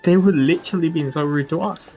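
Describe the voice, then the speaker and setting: A man's voice: fairly high pitch, quick pace, dull audio, a little hiss or hum. A man doing casual game commentary, slangy and thinking aloud as he goes. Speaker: gaming streamer. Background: game music.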